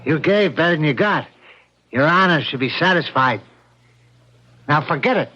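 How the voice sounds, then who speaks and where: An actor playing a menacing crime boss who talks in an ingratiating way with veiled threat, in an old-time radio drama. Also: male voice, fairly high pitch, expressive, moderate pace, bright, forceful tone. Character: smooth-talking, menacing male crime boss. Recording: dull lo-fi radio drama recording.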